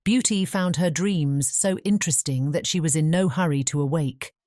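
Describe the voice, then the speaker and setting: A woman's voice: low voice, darkish tone, no room sound, studio quality. A woman narrating a fairy tale in a scripted bedtime audiobook style.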